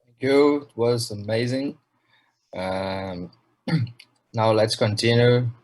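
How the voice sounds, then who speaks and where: A man's voice: mid-range voice, takes it slowly, darkish tone. A man hosting an online lecture series, thanking a speaker and introducing the next, heard a little away from the microphone. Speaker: host.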